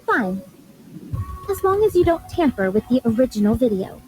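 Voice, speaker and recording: A woman's voice: fairly high pitch, sing-song, moderate pace, darkish tone, poor audio. A proud, aloof woman in a video game cutscene. She speaks tersely and with haughty reserve, as if guarding something precious, with music behind her. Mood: cool.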